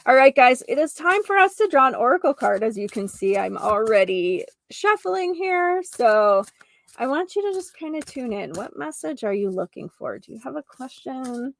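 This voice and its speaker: Woman podcast host with creaky fry. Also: sits high, sing-song, normal speed. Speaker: host of a holistic healing podcast; geomancer and energy healer. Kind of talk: solo podcast talk addressed to listeners.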